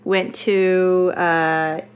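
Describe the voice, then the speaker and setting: A woman's voice: on the low side, little pitch movement, extremely slow, clean tone. A young adult woman from Colorado, a TV writer telling her story on a podcast in a chatty, self-deprecating way, with a very young-sounding voice. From Colorado, USA. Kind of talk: casual storytelling chat.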